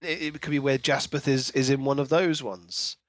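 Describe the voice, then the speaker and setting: A man's voice: mid-range voice, fairly even intonation, moderate pace, no room sound. Casual man chatting, very dry close sound. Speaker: podcast co-host. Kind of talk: casual book discussion.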